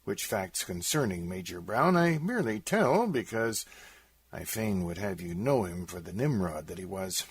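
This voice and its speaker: Expressive male storyteller with a husky edge. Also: sits low, normal speed. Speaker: radio host and poem reciter. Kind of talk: introducing and reciting a comic narrative poem.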